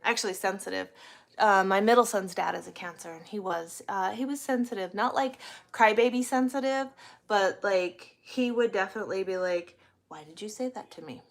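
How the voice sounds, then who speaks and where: A woman's voice: mid-range voice, moderate pace, vocal fry. A woman in her forties chatting casually and candidly on a livestream, rambling as she answers viewer comments. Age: 40s. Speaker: livestream host, truck driver.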